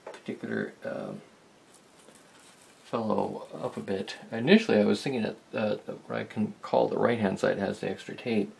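A man giving a casual hands-on demonstration, talking in a halting, rambling, self-deprecating way. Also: lowish voice, creaky, background noise. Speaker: host of a vintage electronics video. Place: indoor electronics workbench.

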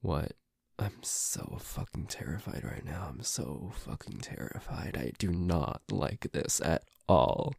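A man's voice: low-pitched, expressive, slow pace, vocal fry, darkish tone, husky, no echo, clean studio sound, heavily acted. A man playing a nervous, suspicious, grumpy boyfriend in an ASMR roleplay, hesitant and stammering, with some swearing. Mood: scared muttering. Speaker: amateur voice actor.

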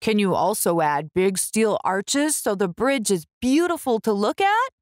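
A woman's voice: mid-range voice, very animated, unhurried, no room sound, studio quality. A woman voicing an upbeat, earnest male town mayor who proclaims things in a children's storybook. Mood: eager.

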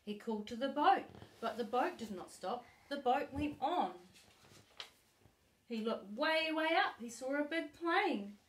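A middle-aged woman reading a children's story aloud expressively, with deliberate emphasis for a young listener. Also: high-pitched, animated, fairly bright tone. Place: living room sofa, indoors. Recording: echoey living room.

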